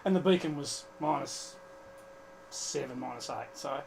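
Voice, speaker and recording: A man with an Australian accent chatting casually as a guest, explaining his hobby in a halting, colloquial way. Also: medium pitch, gravelly, a little mellow, a little hiss or hum, poor audio. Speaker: guest, amateur radio operator. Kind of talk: casual chat. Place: club radio studio.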